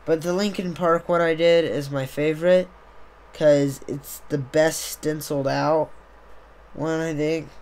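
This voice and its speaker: A young man, slightly hoarse, chatting casually on a live stream into a desk mic on a boom arm, rambling with many fillers. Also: little pitch movement, measured pace, a little mellow. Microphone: desktop USB mic on a boom arm.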